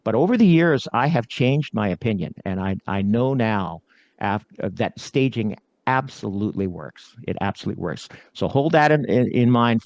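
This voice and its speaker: A man's voice: mid-range voice, no echo. A middle-aged man hosting a podcast interview, chatty and self-deprecating, prone to interrupting. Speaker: podcast host. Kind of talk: casual interview chat. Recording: dull, slightly lo-fi sound.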